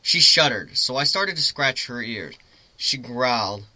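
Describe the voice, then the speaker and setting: A man's voice: mid-range voice, lively intonation, measured pace, bright, forceful tone, rough recording. A man giving an amateur dramatic reading of a first-person story.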